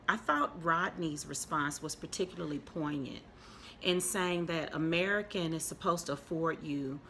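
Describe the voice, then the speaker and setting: A woman host giving reflective commentary in a thoughtful, measured way. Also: low voice, a bit bright, a little hiss or hum. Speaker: host and interviewer.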